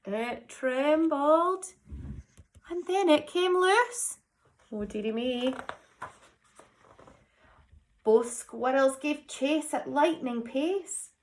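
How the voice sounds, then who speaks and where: A high-voiced woman narrates a children's picture book at a slow, measured pace. She stresses words dramatically and breaks off for chatty asides to young listeners, as a teacher would. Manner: animated, dramatic emphasis. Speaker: teacher reading a story to children.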